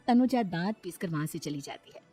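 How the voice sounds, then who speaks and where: A woman's voice: on the low side, animated, a little fast, dark tone. A woman reading scripted narration for a Hindi cartoon family story. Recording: music behind the voice. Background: background music.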